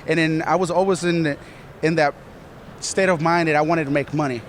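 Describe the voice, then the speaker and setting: A man's voice: fairly high pitch, fairly even intonation, a little fast, a little hiss or hum. A man from Colombia who manages an art studio, talking earnestly in a casual interview and often repeating himself. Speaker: studio manager and business partner.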